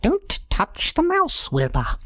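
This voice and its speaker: Man putting on a shrill, fussy witch. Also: wildly swooping pitch, slow pace, pushed and bright, full character voice. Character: excitable grown female witch. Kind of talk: storybook read-aloud.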